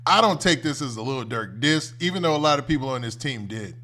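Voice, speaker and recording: A man's voice: medium pitch, expressive, brisk, bright, forceful tone. An American man hosting a commentary stream, reacting off the cuff in a casual, colloquial, conversational way. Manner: conversational, colloquial, often ends phrases with "man". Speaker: host of a commentary livestream.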